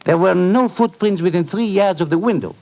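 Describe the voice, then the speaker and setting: Earnest French inspector, dull old recording. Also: male voice, high-pitched, fairly quick, a little mellow. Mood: protesting. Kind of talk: 1940s radio acting. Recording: dull 1940s radio recording.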